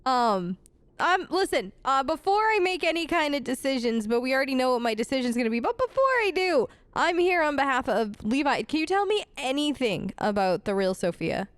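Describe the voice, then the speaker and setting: A woman's voice: sits high, big pitch swings, a little fast, dry recording. A woman recording a role-play let's play of a video game, speaking into a close microphone.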